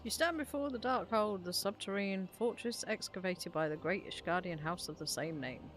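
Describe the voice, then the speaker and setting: A woman's voice: mid-range voice, moderate pace, soft background music, lightly acted. A woman reading aloud the part of a stern, official fortress guard in a fantasy game.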